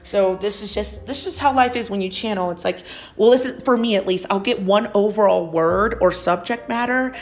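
A woman's voice: mid-range voice, moderate pace, faint music behind. A woman in her thirties hosting a solo podcast, rambling casually with frequent swearing and laughter, her nose stuffed up and slightly nasal. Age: 30s. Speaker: podcast host and psychic medium.

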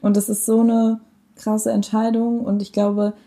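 A woman's voice: medium pitch, monotone, measured pace, warm tone. A young woman talking casually as a podcast guest, slangy and correcting her own wording as she goes.

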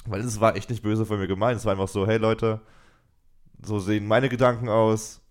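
A man's voice: on the low side, little pitch movement, brisk. A young man co-hosting a casual podcast, joking and laughing often, rambling with restarts. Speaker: podcast co-host. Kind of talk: casual chat.

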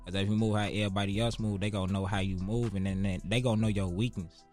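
Low-voiced young Chicago man, slangy and emphatic. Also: steady tone, fast talker, darkish tone, soft background music. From Chicago, United States. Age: 20s. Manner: street slang, profane, emphatic repetition. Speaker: interview guest, rapper. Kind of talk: casual interview talk.

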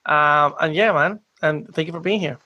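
A podcast host with a South American Spanish accent and a slightly high voice speaks warmly and effusively. His phrasing is loose and chatty as he praises his guest and signs off. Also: normal speed, dull audio. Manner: effusive, friendly, loose wording. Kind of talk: casual podcast hosting.

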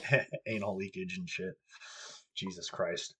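A man's voice: deep voice, lively intonation, moderate pace, bright, forceful tone, breathy edge. A man hosting a podcast who rants angrily and swears constantly, sniffling from allergies, talking from a cold house. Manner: angry, profane ranting. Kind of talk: ranting podcast talk.

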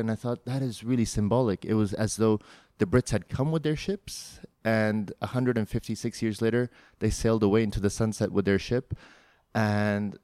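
Podcast host guy from hong kong, lowish voice, reflective, dry studio sound. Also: mellow, no echo. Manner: thoughtful, reflective, some restarts. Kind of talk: podcast interview. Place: studio in São Paulo.

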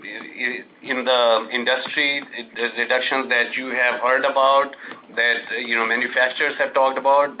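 A man speaking as a company executive on an earnings call, answering in a halting, measured, explanatory way. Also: mid-range voice, a little hiss or hum. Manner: halting, measured corporate explaining with frequent pauses. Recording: dull lo-fi conference call audio.

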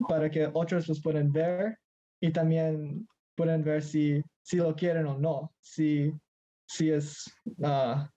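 A man's voice: on the high side, level tone, slow, dark tone, lo-fi sound. A teenage boy from Mexico in casual conversation as a guest, hesitant and searching for words, slipping into English now and then. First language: Spanish. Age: teens.

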